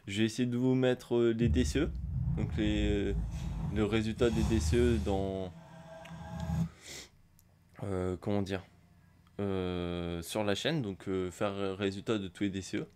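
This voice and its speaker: Man with a cold, low voice. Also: fairly even intonation, unhurried, pauses often, warm tone, slight background noise. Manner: rambling, many hesitations. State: has a cold, hoarse. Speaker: gaming youtuber. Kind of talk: casual pack-opening commentary.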